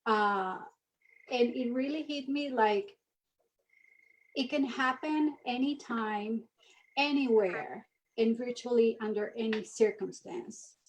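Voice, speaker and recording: A woman's voice: a little high, slow pace, a little hiss or hum, poor audio. A woman from Venezuela in her thirties, speaking earnestly as an interview guest in a casual chat. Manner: earnest, animated storytelling. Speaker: guest, mission ambassador.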